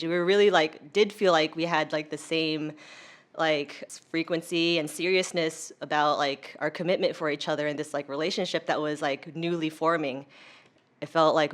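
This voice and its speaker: Low-voiced young American woman chatting casually. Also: a bit bright. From United States. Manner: chatty, informal, heavy use of "like". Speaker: interview guest. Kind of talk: casual conversational interview.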